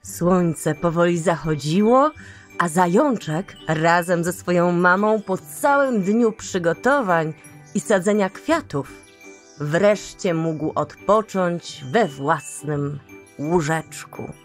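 A woman narrating a children's storybook in a calm, gentle way. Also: on the low side, slow. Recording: soft music underneath.

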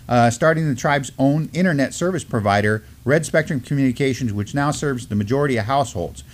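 A middle-aged man co-hosting a casual news discussion in loose, informal phrasing. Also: mid-range voice. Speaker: co-host. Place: small studio, seated at a table.

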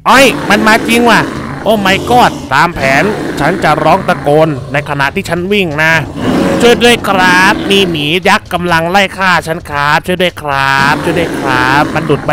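A hapless game-cartoon villager played by a man, boastful and excitable. The voice is fairly high, with clear noise behind it. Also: normal speed, lightly acted. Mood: panicked.

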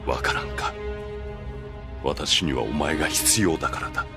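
An actor playing a calm, manipulative master swordsman in an anime audio drama, speaking in a measured, persuasive way. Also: a bass voice. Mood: quietly persuasive. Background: music.

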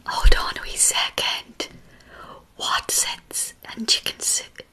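A woman's voice: takes it slowly, bright, forceful tone. A woman whispers playfully and casually to live viewers, close to the mic, often repeating phrases. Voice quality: breathy whisper. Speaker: ASMR live streamer. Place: small home room, indoors. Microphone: large microphone right in front of mouth.

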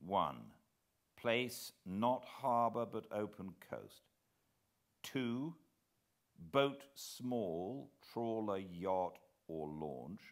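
A middle-aged British man giving a measured, expressive dramatic reading of a story as an audiobook narrator. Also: lowish voice. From Britain. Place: living room armchair beside a fireplace.

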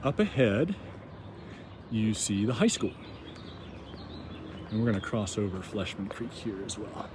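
Man, lowish voice, talks while walking small-town streets; loose, halting narration with audible street and wind noise. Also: sing-song. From United States. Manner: rambling, halting with many ums and restarts. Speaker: walking tour vlogger. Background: street ambience, passing vehicles.

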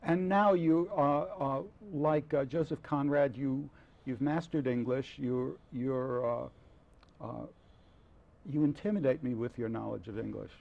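Halting older male talk show host. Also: medium pitch, gravelly, lo-fi sound. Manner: halting, many fillers and restarts, admiring. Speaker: TV interview host. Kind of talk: studio interview. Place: dark TV studio set. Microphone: lapel mic.

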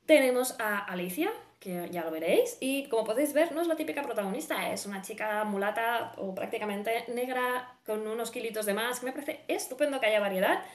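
A woman's voice: on the high side, animated, a little fast, hardly any pauses. A woman in her thirties with a Spain Spanish accent, giving a casual, effusive book review and repeating words for emphasis. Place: small room at home, indoors. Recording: roomy, echoing home recording.

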